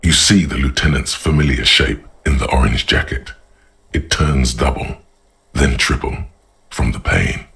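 A man voicing a grave, gravelly inner narrator of a wounded detective's mind in a literary video game, measured and deadpan. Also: rumbling low, exaggerated voice. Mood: somber, brooding. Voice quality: husky, gravelly.

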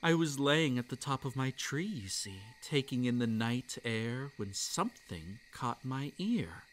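Man as a dark yandere fox-spirit boy, slow delivery, hushed intimate menace, possessive, ASMR roleplay, crickets in the night. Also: mid-range voice, sing-song, a little hiss or hum. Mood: hushed storytelling. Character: dark, obsessive yandere kitsune monster boy, gloating and possessive. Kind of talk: roleplay ASMR dramatic monologue. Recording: night insect ambience behind the voice. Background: crickets and night insects.

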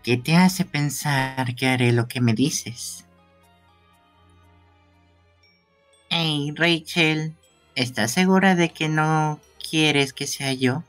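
A man's voice: fairly high pitch, takes it slowly, a bit bright, clean tone, faint music behind. A man voicing a morbidly courteous, theatrical young gravedigger in a fan game dub. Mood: taunting. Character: theatrical pumpkin-headed boy gravedigger, morbidly courteous, wistful.

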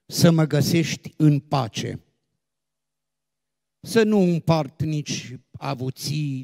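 A man reciting devotional poetry solemnly and expressively, with dramatic phrasing and deliberate pauses. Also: a little high, vocal fry, mellow, no room sound, clean studio sound. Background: instrumental music.

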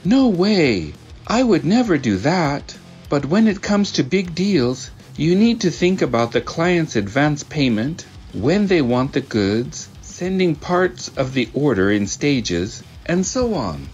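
A man's voice: on the high side, very animated, slow pace, a little mellow, lo-fi sound, soft background music. A man voicing an earnest, helpful young office worker in a manga dub, polite and supportive. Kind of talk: manga dub character acting.

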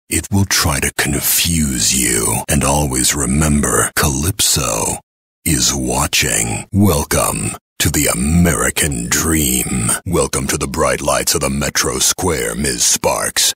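An actor playing a menacing, taunting game announcer, theatrical and sardonic, with a gravelly raspy voice. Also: male voice, rumbling low, a bit bright, no echo, heavily acted. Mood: grandly ominous. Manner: ominous, sardonic, dramatic delivery. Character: menacing, taunting male arena announcer for deadly car combat.

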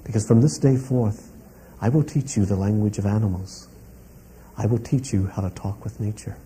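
A man voicing a wise old male eagle who teaches, speaking slowly and gravely. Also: fairly low pitch, mellow, a little hiss or hum, close to an everyday voice, low-quality recording. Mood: solemn.

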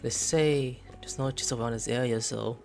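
A young man streaming a video game, reacting excitably, swearing and shouting at enemies. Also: medium pitch, moderate pace, vocal fry, faint music behind. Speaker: gaming streamer. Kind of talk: casual gameplay commentary.

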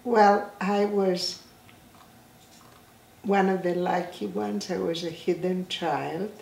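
Slow, gravelly woman in her eighties. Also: lowish voice, fairly even intonation, a little mellow, a little hiss or hum. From Greece. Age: 80s. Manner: slow, halting, with many restarts and fillers. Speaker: interviewee. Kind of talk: oral history interview. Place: indoor room, seated in a chair. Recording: roomy, slightly distant sound.

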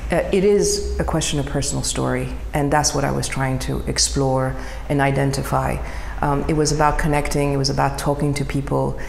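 A middle-aged woman from Iran, a photographer speaking thoughtfully about her work, searching for words with many pauses and restarts. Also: low voice, vocal fry, a little mellow, roomy sound, big-room sound, a little hiss or hum. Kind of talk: reflective interview monologue.

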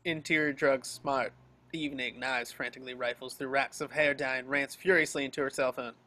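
Man, medium pitch, bright and forceful, scripted scene narration, amateur table read. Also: steady tone, fairly quick. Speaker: stage-direction reader. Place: home, group video call.

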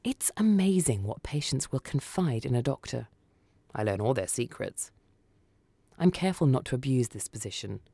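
A woman's voice: rumbling low, big pitch swings, normal speed, creaky, mellow, dry recording. A woman reading a first-person short story for radio as scripted narration. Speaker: story reader.

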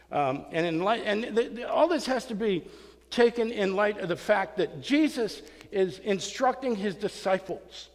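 An older man preaching a sermon in a small church, reading scripture and then telling a folksy, self-mocking story while gesturing and pacing. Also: sits high. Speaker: pastor. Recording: long reverb of a hall.

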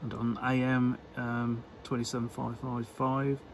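A man giving a casual, unscripted workbench demonstration in halting, hesitant phrases, as an electronics hobbyist would. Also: mid-range voice, little pitch movement. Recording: clear background noise.

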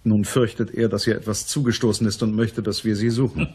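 A persistent private eye in a radio crime drama. His voice is low and husky, and he narrates and questions in a courteous, measured, matter-of-fact way. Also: flat delivery, a little mellow. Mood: businesslike. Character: male private detective, businesslike, courteous, persistent. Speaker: narrator and lead actor.

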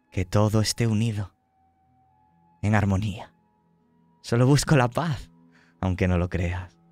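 A man playing a menacing, persuasive villain who bargains calmly in slow, measured phrases for a tabletop horror game. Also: on the low side, dry recording. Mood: solemn, calm.

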